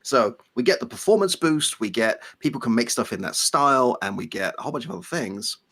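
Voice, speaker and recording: A man's voice: mid-range voice, animated, fairly quick, a bit bright. A man from Belgium whose first language is French, co-hosting a casual live stream and explaining things in a digressive way. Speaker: livestream co-host, game asset developer.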